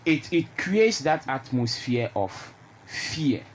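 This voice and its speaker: A man's voice: on the high side, sing-song, takes it slowly, low-quality recording. A man with a Ghanaian accent speaking emphatically and explaining his views in a conversational panel discussion. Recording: noticeable background noise.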